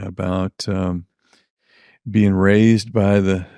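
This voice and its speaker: Lowish middle-aged man, halting, dry sound. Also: little pitch movement, dark tone, smooth, no echo. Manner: halting, thoughtful, trailing phrases. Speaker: podcast host. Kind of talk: casual interview chat.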